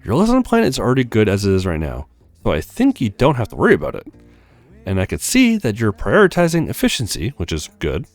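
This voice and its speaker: Man reading as a studious, helpful advisor, animated pitch. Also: fast talker, dry recording, faint music behind. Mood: instructive. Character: helpful, studious advisor, easily flustered, giving teaching pointers. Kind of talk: visual novel dialogue read aloud.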